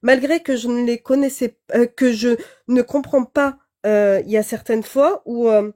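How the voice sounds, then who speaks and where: A woman's voice: a little high, steady tone, moderate pace, darkish tone. A young French-speaking woman on a live online debate, talking hesitantly with many fillers and restarts. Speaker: caller.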